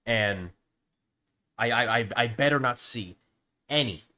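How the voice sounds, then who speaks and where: A man with a sore throat, sounding hoarse as he gives rambling, casual opinions on a video game, often restarting his sentences. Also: medium pitch, slow, pushed and bright. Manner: rambling with frequent restarts and stammers. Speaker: gaming youtuber. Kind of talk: casual opinion commentary to camera.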